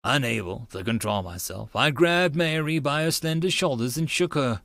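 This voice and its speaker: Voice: mid-range voice, animated, normal speed, vocal fry, fairly bright tone, no room sound, studio quality. An audiobook narrator giving a theatrical, emphatic first-person reading of an anime-style story. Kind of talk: dramatic first-person reading of a visual novel.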